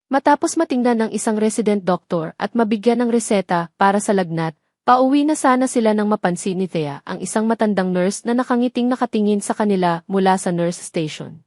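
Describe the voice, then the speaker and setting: A woman's voice: mid-range voice, expressive, fairly quick, darkish tone, dry recording, studio quality. A woman reading a scripted dramatic romance story as an audio drama narrator.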